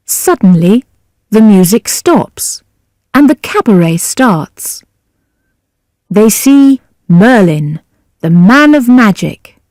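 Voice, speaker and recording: A woman narrates a learners' storybook with great care over every word. Her delivery is extremely slow, yet the pitch moves in a lively way. The tone is mellow and the sound is close and dry. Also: no room sound. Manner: slow, deliberate, carefully enunciated. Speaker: audiobook narrator. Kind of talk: scripted narration of a graded language-learner story.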